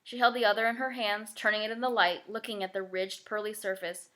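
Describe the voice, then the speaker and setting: A woman narrating a children's novel as a scripted, expressive read-aloud. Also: medium pitch, a little fast.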